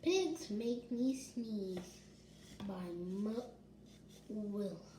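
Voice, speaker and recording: A child reading a picture book aloud informally, haltingly and with asides. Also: medium pitch, animated, mellow. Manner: halting, chanting little filler tunes. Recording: roomy echo with faint noise.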